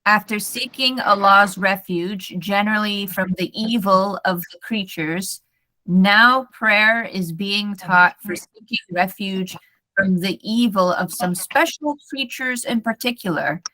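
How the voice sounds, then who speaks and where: American woman, bright and clear, friendly online English tutor. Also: medium pitch, a little slow, rough recording. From United States. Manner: friendly, self-correcting, reads text aloud carefully. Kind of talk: casual online lesson.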